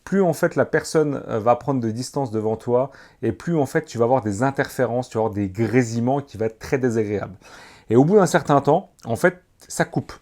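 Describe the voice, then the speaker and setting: A man's voice: medium pitch, lively intonation, a little fast, darkish tone. A man gives a casual, slangy gear review, speaking to the viewer as to a friend. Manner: informal, slangy, addresses the viewer familiarly. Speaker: motorcycle vlogger reviewing gear. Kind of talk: casual product review to camera.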